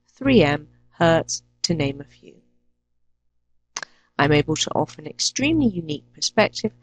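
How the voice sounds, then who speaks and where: English woman, 30s, teacher; low pitch, measured, clear delivery. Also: low-quality recording. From England. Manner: clear, deliberate, lists items one by one. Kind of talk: self-introduction to a teaching channel.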